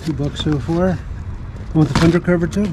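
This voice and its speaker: A man, selling at his yard sale and chatting in a friendly, unhurried way, picked up outdoors from a few feet away. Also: fairly high pitch. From Philadelphia. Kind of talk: casual chat. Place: outdoors in a driveway. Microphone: heard from a few feet off the camera mic. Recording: distant outdoor pickup with loud background noise.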